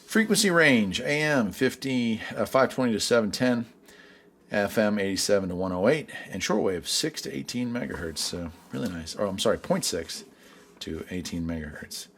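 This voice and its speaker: Man, low voice, easygoing folksy product reviewer, casual chat, faint background noise. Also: lively intonation, moderate pace, a bit bright.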